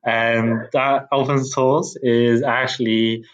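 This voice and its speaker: A man's voice: medium pitch, level tone, slow, dull audio. A man from Bulgaria in his thirties, a podcast guest chatting casually with many restarts and fillers and frequent laughs. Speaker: podcast guest, tech founder. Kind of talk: casual interview chat.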